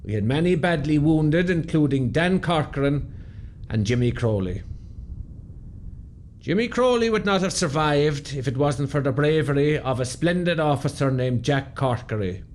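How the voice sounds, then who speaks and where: An actor playing an Irish veteran officer who recounts a battle in a radio play, grave and measured, with an Irish accent. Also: male voice, a little high, expressive, darkish tone, a little hiss or hum, lightly acted. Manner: measured, storytelling. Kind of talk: dramatic first-person radio narration.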